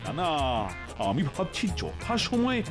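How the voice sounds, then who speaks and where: A worried, stammering cartoon king, his high voice rising and dipping all over. He frets through every line, with music playing underneath. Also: wildly swooping pitch, measured pace, full character voice. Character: anxious fretful king, middle-aged man. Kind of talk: cartoon voice acting. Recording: studio dub with background music.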